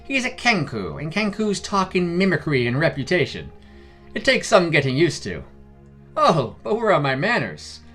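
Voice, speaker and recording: A man's voice: on the high side, huge pitch swings, moderate pace, a bit bright, soft background music, pitched above the performer's own voice, full character voice. A man voicing a friendly, polite middle-aged merchant who chats and explains in a fantasy story. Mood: friendly, grateful. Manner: chatty, courteous, explanatory.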